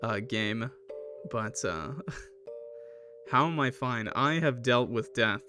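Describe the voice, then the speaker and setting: A man chatting casually on a livestream as a gamer, halting and hesitant, with a self-deprecating manner. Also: medium pitch, over music. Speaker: streamer playing and reviewing a game. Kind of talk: casual livestream chat, reacting to a game and viewer comments.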